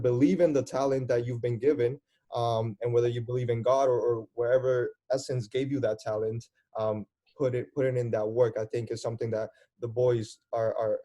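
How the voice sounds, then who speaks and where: A man's voice: medium pitch, warm tone. A man speaking as a youth soccer coach and interviewer, in an encouraging, mentoring way, thinking aloud as he talks. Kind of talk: conversational interview in front of young players.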